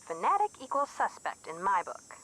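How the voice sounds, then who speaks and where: A woman playing a bubbly, teasing young sidekick in a video game, with an American accent and a playful, expressive way of talking. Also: mid-range voice, normal speed, poor audio. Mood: curious, wry. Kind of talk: video game voice acting, phone conversation.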